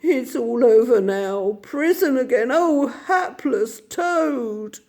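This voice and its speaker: Vain excitable toad for a storybook read, high and boastful, put on by a woman narrator. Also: slow. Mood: despairing.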